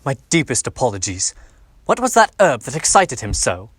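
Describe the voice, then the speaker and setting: A man playing a courteous, fretful doctor and father in a radio drama, slightly husky, with a hesitant, polite manner. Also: mid-range voice, wildly swooping pitch, normal speed, fairly bright tone, no echo, exaggerated voice. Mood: apologetic, puzzled.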